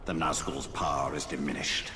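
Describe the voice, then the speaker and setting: An actor playing a resolute, tactical warrior in a fantasy game, speaking seriously and with clipped purpose. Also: male voice, very deep voice, little pitch movement, moderate pace, background noise. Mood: grave resolve. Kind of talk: dramatic fantasy game acting.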